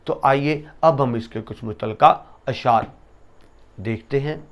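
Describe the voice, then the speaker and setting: A man giving a lesson to students, explaining essay writing and reciting couplets slowly with emphasis. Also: mid-range voice, muffled recording, slight background noise. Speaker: teacher.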